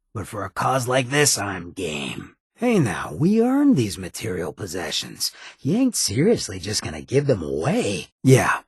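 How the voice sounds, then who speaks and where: An actor voicing a streetwise, roguish ghoul gang boss in a video game, with a heavily raspy voice and a sardonic, slangy swagger. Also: male voice, lowish voice, dry recording, studio quality, heavily acted. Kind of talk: game companion dialogue lines.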